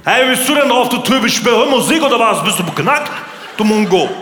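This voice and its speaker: A man's voice: very high-pitched, lively intonation, a little fast, voice pushed up. A man voicing a dim, aggressive young street punk on a comedy stage, talking in belligerent slang and repeating himself. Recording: echoey hall, live audience, noisy.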